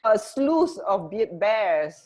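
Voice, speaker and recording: Slow-talking woman in casual radio chat. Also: mid-range voice, very animated, darkish tone. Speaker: radio co-host.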